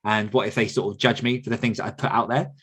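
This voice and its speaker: A man's voice: on the low side, fairly even intonation, quick pace, fairly bright tone. Chatty man on a candid podcast. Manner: candid, chatty, laughs often. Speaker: podcast host and author coach. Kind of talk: casual podcast chat.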